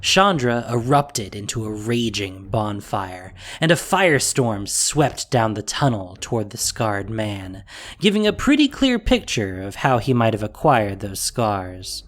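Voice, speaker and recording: A man's voice: lowish voice, big pitch swings, a little slow. A man narrating a fantasy audio drama in a scripted, dramatic storytelling style.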